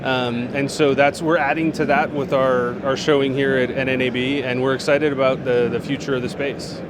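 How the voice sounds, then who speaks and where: A man speaks in a mid-range voice, relaxed and chatty, often pausing on "um" and restarting his sentences. He is answering questions as a guest at a busy trade show, and noise from the floor carries clearly behind him. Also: medium pitch. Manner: relaxed, frequent fillers and restarts. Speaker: product manager, interview guest. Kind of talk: casual trade-show interview.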